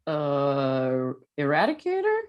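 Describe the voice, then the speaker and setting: A woman playing a gruff, exasperated male superhero, with dry delivery, at an amateur table read. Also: sits low, wildly swooping pitch, takes it slowly, highs cut off, full character voice. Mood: alarmed.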